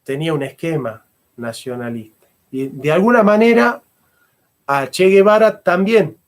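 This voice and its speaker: A middle-aged man, a philosopher and history teacher giving a fervent lecture and repeating phrases for emphasis. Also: a little high. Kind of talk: impassioned lecture.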